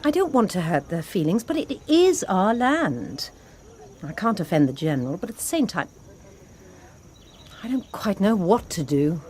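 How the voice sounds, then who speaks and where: Actress as a young Sister Superior, earnest and unsure; even middle voice. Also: female voice, mid-range voice, normal speed, lightly acted. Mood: troubled. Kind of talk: radio drama acting.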